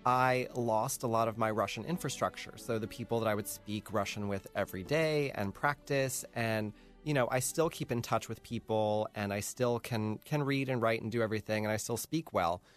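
A man's voice: on the low side, moderate pace, hardly any pauses, faint music behind. A man hosting a casual podcast, chatty and laughing often, with plenty of fillers. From North Jersey. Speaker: podcast host. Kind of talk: casual chat.

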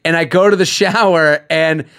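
A man's voice: a little high, steady tone, normal speed, vocal fry, fairly bright tone, clear, resonant tone. A man hosting a podcast, telling stories in casual chat, rambling with lots of restarts and laughs. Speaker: podcast host.

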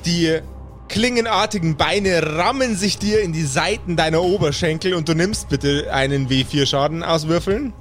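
A high-voiced male game master tells an improvised fantasy adventure. His delivery is descriptive and dramatic, and music plays behind him. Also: moderate pace, a bit bright. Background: background music.

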